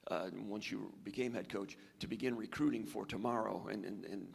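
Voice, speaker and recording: Gravelly male reporter, echoey press room. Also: lowish voice, steady tone, fairly quick. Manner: long, winding multi-part question. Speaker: sports reporter. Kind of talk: press conference question. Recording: long echoey hall reverb.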